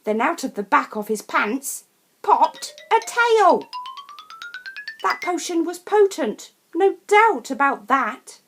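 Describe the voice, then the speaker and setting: Slow, animated Englishwoman reading a storybook. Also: high voice, soft background music. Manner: theatrical, deliberate, emphatic. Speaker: storyteller reading a children's picture book. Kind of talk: expressive rhyming storybook narration.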